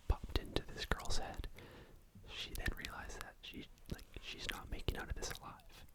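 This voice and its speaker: An ASMR host whispering a true crime story to the microphone in a casual, rambling way, often saying "like" and "you know.". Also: poor audio. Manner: soft unvoiced whisper throughout.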